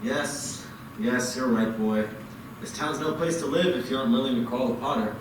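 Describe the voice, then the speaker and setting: An actor playing a calm, kindly middle-aged father who runs a small loan office. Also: mid-range voice, normal speed, close to an everyday voice. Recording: echoey room, far from mic, noisy.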